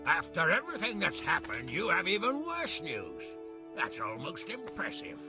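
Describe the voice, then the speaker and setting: An actor playing a blunt, sardonic medieval lord in a video game, gruff and hearty. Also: male voice, sits high, huge pitch swings, moderate pace, music in the background, heavily acted. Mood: exasperated, sardonic. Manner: gruff, sarcastic. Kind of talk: video game cutscene acting.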